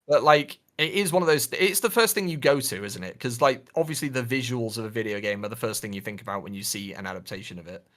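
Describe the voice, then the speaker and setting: A man with a mid-pitched voice co-hosts a casual podcast chat. He talks in a hesitant, hedging way as he works through his opinions. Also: quick pace, few pauses, a bit bright. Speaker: podcast co-host. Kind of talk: casual podcast chat about films and games.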